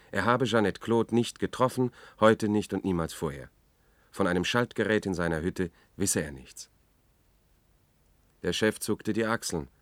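A haunted, obsessive male reporter in a science-fiction radio play. His voice is low, and he tells his story with brooding, measured intensity. It is recorded dry and close. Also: a bit bright, no room sound. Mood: bitter, brooding. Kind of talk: German radio drama, first-person narration.

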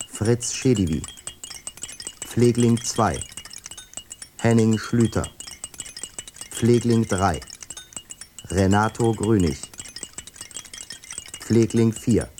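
A man announcing the stage lines and credits of a radio play in a measured, formal way. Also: on the low side. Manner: slow, measured, even delivery.

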